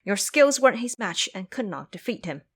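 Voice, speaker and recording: A woman's voice: medium pitch, sing-song, brisk, slightly rough. A woman voicing an earnest, pleading young male dragon in horse form for an audio drama. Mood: reproachful. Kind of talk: audio drama character acting.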